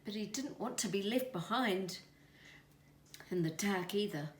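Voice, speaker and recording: A woman with a New Zealand accent reading a children's story expressively, dramatic and hushed in places. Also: mid-range voice, unhurried, slight background noise. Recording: echoey room.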